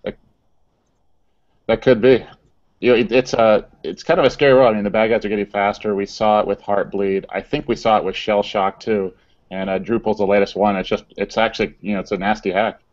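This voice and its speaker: A man co-hosting a casual tech chat, talking haltingly and often repeating words. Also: on the low side, little pitch movement, darkish tone, dull audio. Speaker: co-host.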